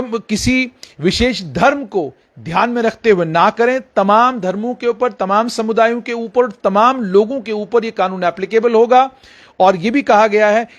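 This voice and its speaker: High-pitched man reporting news. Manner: emphatic, repeats phrases for emphasis. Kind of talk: explanatory news report, speaking directly to viewers.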